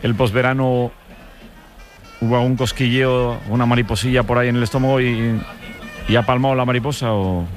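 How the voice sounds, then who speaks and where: A man's voice: mid-range voice, fairly even intonation, a little fast, faint music behind. A man hosting a radio sports show, teasing a colleague with joking questions. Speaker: radio host. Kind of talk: lively sports radio banter.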